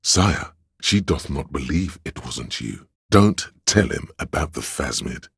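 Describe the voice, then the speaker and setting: An actor playing a flamboyant, sly inner voice of drama in a video game, speaking like a courtly servant with a theatrical, arch manner and a husky, gravelly delivery. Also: male voice, rumbling low, animated, measured pace, fairly bright tone, no echo, clean studio sound, full character voice. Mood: confiding.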